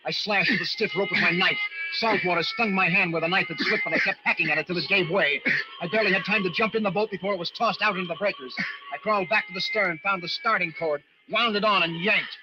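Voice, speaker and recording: Desperate lighthouse keeper , male actor, high intense voice, dramatic storytelling, muffled vintage radio sound with music behind. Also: fast, lightly acted. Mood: tense, urgent. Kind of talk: old-time radio drama acting.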